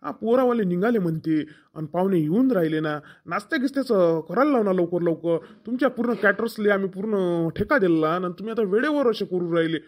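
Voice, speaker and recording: A man's voice: sits high, expressive, brisk. A man voicing a harried, nagging village family man in a comedy cartoon, with a colloquial Varhadi accent from Vidarbha. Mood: complaining. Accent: Varhadi Marathi from Vidarbha.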